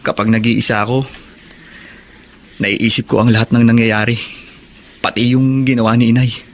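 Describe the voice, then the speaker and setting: A man's voice: medium pitch, flat delivery, normal speed, lightly acted. A man with a Filipino accent acting as an earnest, respectful young prisoner in a radio drama. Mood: earnest, grateful.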